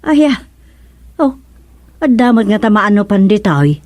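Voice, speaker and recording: Expressive villager, Ilocano accent, radio drama, mid-range voice, dry sound. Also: female voice, medium pitch, unhurried, no room sound. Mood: exclaiming.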